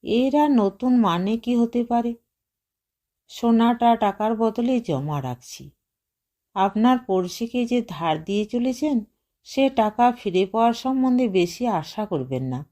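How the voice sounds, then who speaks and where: Lady reader doing young housewife in a story, bitter n pleading, slow soft mellow voice, wont back down. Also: on the high side, animated. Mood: quiet irony. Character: anguished, resolute young housewife, bitterly proud.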